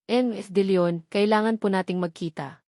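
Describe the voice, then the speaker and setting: A woman's voice: mid-range voice, fairly even intonation, moderate pace, dark tone, no room sound, studio quality, lightly acted. Woman voicing a formal, courteous family lawyer.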